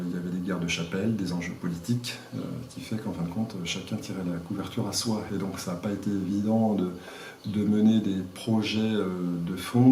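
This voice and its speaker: A man answering interview questions as a village mayor, speaking informally and haltingly as he searches for words. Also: fairly low pitch, gravelly, warm tone. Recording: echoey room, distant mic, faint noise.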